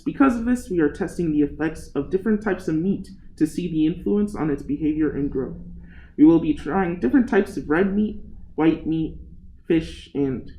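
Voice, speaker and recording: A man's voice: on the high side, dark tone, echoey room, close to an everyday voice, low-quality recording. A man playing an uneasy, grudging junior scientist in an amateur radio play, questioning and protesting.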